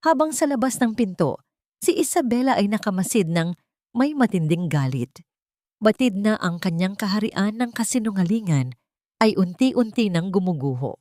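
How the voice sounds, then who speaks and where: A woman with a Filipino accent narrating a dramatic story in a solemn, measured way, close to the microphone. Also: mid-range voice, expressive, warm tone, dry recording.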